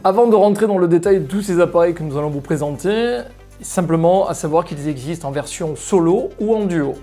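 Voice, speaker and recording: A man's voice: high voice, a little fast, mellow, soft background music. A man giving an explanatory, semi-scripted product presentation straight to viewers as a shop presenter. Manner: explanatory, addressing viewers directly.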